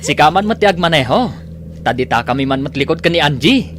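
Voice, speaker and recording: A man's voice: a little high, very animated, fairly quick, background noise. A man acting an easygoing, coaxing young suitor in an Ilocano radio drama, with an Ilocano accent. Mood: teasing. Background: music.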